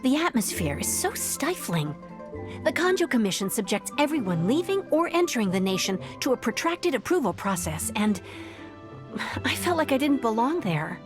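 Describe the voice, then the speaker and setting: A woman playing a gentle young exile in a video game, speaking in an earnest, measured storytelling way. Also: a little high, sing-song. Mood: serious, wary. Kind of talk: video game dialogue acting. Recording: game music behind the voice. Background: background music.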